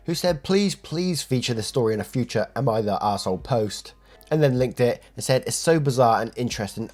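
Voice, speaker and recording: A man's voice: medium pitch, darkish tone. British man, stuffy from a cold, friendly chatty narrator, faint background music. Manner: friendly, chatty, thanks listeners. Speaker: story narrator. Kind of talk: casual reading of online posts.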